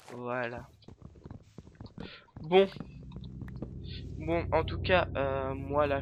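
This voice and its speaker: A man's voice: on the high side, dull audio, slight background noise. Rambling stuffy-nosed man gaming. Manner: hesitant, filler-heavy rambling. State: stuffy nose from a cold. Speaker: let's play host. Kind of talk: casual gameplay commentary.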